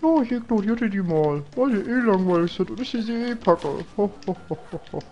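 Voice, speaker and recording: A man's voice: sits high, expressive, brisk, a little mellow, lo-fi sound, faint music behind. A young man with a cold, casually rambling and joking to himself while commentating a video game. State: has a cold. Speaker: let's play host.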